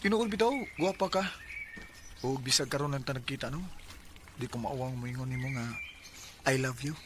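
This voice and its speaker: An actor playing a smooth, flattering young man courting a woman in a Bisaya radio drama, with a Cebuano accent. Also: medium pitch, normal speed, background noise, natural voice. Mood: wooing, flattering. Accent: Filipino, Cebuano Bisaya. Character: J R, smooth, flattering young suitor eager to marry.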